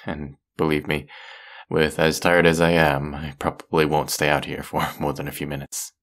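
Very deep, creaky-voiced tired boyfriend, acted by a man. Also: flat delivery, moderate pace, a bit bright. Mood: sleepy, affectionate. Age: young adult. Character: tired, overworked, affectionate college-student boyfriend. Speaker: audio roleplay voice actor. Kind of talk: intimate boyfriend roleplay audio acting.

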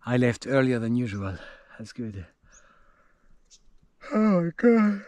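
A man's voice: mid-range voice, big pitch swings, slow, darkish tone, no echo. A man in his forties from France, speaking with a French accent, chatting hesitantly with many fillers while filming himself outdoors on a camera held at arm's length. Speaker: cycling travel vlogger. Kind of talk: casual self-filmed travel vlog. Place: outdoors on a roadside in dry bush.

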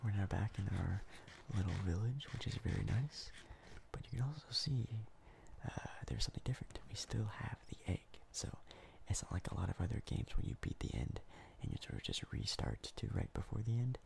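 Young man whispering ASMR commentary, crackly and low. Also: very animated, fairly quick, gravelly, a little mellow. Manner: casual, rambling, hesitant. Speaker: ASMR gaming YouTuber. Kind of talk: soft-spoken ASMR game commentary.

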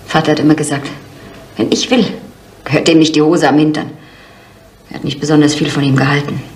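An actress playing a stubborn, matter-of-fact daughter in a 1970s German radio crime drama, speaking firmly and bluntly. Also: female voice, low-pitched, fairly even intonation, a little fast, a little mellow, lightly acted. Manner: firm, blunt.

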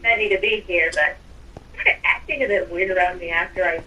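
A woman's voice: lowish voice, lively intonation, a little fast, pushed and bright. A woman chatting casually in a roleplay game over a noisy voice chat. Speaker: player in a Minecraft roleplay group.

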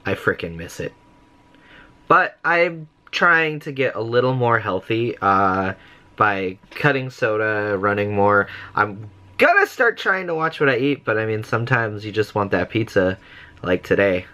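Young guy rambling to the camera in his bedroom, lowish voice but really bright, jokes about himself. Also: sing-song, unhurried. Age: young adult. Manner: chatty, rambling, self-mocking. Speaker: vlogger. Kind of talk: casual daily vlog chat. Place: small bedroom, indoors. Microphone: camera close to face.

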